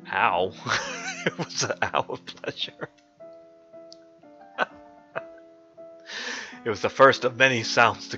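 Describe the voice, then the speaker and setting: A man's voice: lowish voice, bright, forceful tone, lo-fi sound. A man streaming a mock-dramatic fanfic reading, often breaking into giggles.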